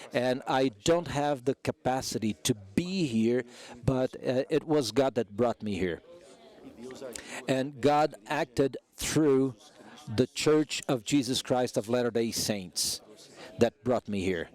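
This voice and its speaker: Male conference interpreter, very slow pace, measured deliberate phrasing, mid-range voice. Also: medium pitch, darkish tone. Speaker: simultaneous interpreter. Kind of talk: simultaneous interpretation of a speech.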